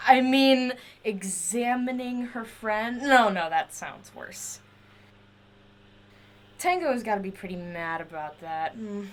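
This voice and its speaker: A woman's voice: a little high, natural voice. A woman playing a chatty, eccentric inventor in an audio drama, rambling eagerly and wheedling to justify herself. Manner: rambling, wheedling, talks to a silent companion.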